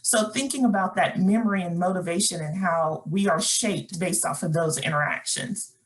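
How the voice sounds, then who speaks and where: Lower-voiced woman leading a webinar. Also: moderate pace, low-quality recording. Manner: conversational, with frequent fillers and restarts. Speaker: workshop presenter. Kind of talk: webinar presentation, reading chat comments aloud.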